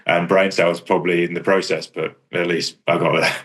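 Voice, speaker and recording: Deep-voiced, even-toned Englishman. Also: brisk. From England. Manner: rambling, self-deprecating, understated. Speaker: kickboxer and striking coach. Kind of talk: casual interview chat about own career.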